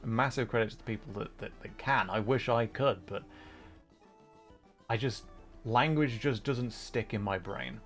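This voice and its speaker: A man's voice: mid-range voice, a little slow, faint music behind. A man hosting a livestream, chatting casually as he plays a game, with an apologetic, self-deprecating manner. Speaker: livestream host. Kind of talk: casual chat while streaming a game.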